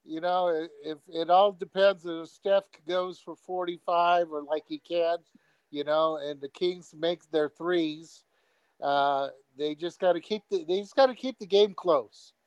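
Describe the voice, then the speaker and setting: Halting male caller, smooth higher voice. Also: steady tone, no room sound, dull audio. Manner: halting, repeats words, frequent fillers. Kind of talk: phone-in chat.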